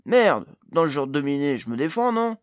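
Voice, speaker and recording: A man's voice: mid-range voice, expressive, normal speed, no room sound, studio quality, lightly acted. A man voicing a young male gnome intern in an audiobook fantasy tale, hesitant at first, then emphatic and exclamatory. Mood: indignant, self-pitying. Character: young male gnome, precarious intern, eager and indignant magic-device expert.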